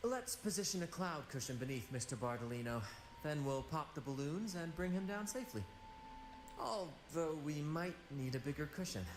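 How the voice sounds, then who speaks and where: A man playing a practical, calm, cautious young helper in a video game. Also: fairly high pitch, moderate pace, close to an everyday voice. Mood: planning. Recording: game music, roomy reverb.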